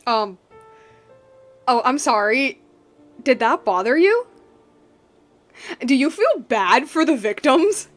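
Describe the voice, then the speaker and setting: A woman giving casual game commentary, thinking aloud in animated, broken bursts. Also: sits high, slow pace, long pauses, soft background music. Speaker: game streamer.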